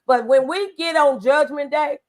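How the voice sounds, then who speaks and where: High-pitched woman hosting inspirational talk. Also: measured pace. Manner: exhorting, conversational. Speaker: talk show host.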